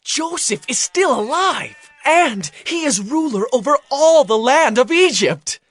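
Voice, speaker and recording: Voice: high-pitched, lively intonation, unhurried, a bit bright, dry recording, voice pushed up. A narrator putting on grown brothers who announce startling news to their father. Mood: excited. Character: excited brothers bringing news, grown men.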